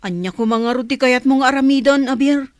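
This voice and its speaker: A woman's voice: sits high, normal speed, darkish tone, dry recording, lightly acted. A woman acting a disapproving, advising friend in a serial audio drama. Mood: questioning. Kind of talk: Filipino radio-style drama dialogue.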